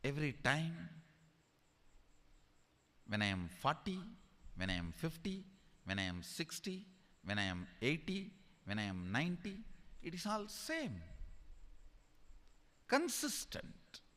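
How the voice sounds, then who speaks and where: A man with an Indian accent giving a spiritual discourse as a teacher, emphatic and deliberate, repeating key phrases. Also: on the high side, wildly swooping pitch, pushed and bright. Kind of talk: sermon-like spiritual discourse to an audience. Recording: long hall reverb.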